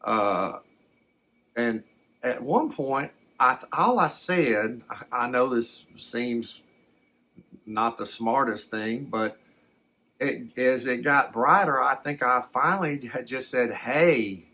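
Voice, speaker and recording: A man voicing a folksy storyteller who recounts a frightening encounter in a halting, rambling ASMR style, often stammering and backtracking. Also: medium pitch, lively intonation, sharp tone, full character voice. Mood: earnest, puzzled. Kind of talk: first-person ASMR storytelling.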